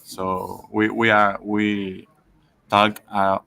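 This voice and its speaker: A man from Argentina with an Argentine Spanish accent, a guest speaking halting English as a second language. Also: fairly low pitch, monotone, gravelly, bright, forceful tone. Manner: halting, searching for words. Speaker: podcast guest.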